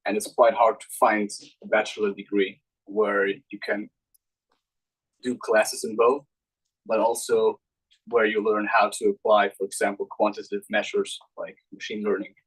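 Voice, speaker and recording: A man's voice: fairly low pitch, level tone, normal speed, a bit bright, slightly rough, poor audio. A young man from Austria, answering off the cuff as a student panelist. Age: young adult.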